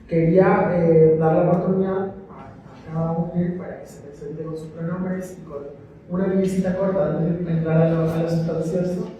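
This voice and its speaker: A man with a dark, mellow voice opens a gallery talk, hesitant and full of fillers. He sounds far from the mic in a long, echoey hall with faint noise behind. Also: a little high, level tone, normal speed, muffled recording. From migrant living in Madrid. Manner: rambling, many fillers, asks the audience to join in. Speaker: curator and moderator. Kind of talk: opening a panel talk.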